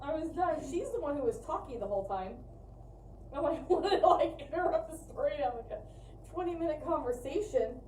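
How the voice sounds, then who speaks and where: A woman's voice: high-pitched, lively intonation, a little fast, warm tone. A woman chatting casually and informally as she explains things to livestream viewers. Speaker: co-host of a fiber arts livestream. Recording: roomy echo, distant mic, faint noise.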